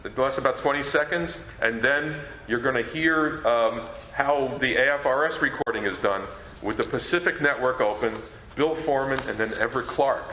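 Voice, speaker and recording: Halting man presenting, bright echoey voice. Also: medium pitch. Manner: halting, stammering delivery. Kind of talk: informal conference presentation. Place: presentation room at a convention. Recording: echoey room, faint noise.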